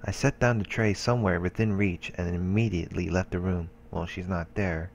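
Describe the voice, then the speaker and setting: A let's play host reading a visual novel's narration aloud, with casual asides. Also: male voice, sits low, steady tone, a little fast, highs cut off, slight background noise.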